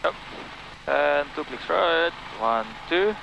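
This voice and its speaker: A man's voice: fairly high pitch, lively intonation, slow, vocal fry, muffled recording. A man with a Filipino accent answering cockpit checks casually as the second pilot in a small plane. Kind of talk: casual checklist responses mixing English and Tagalog. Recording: light engine noise in plane cockpit. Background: engine noise.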